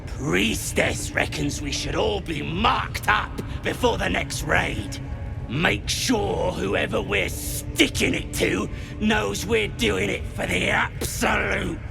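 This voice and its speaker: High, very gravelly goblin raider. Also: male voice, slow pace, music in the background, full character voice. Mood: zealous. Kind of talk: video game voice acting.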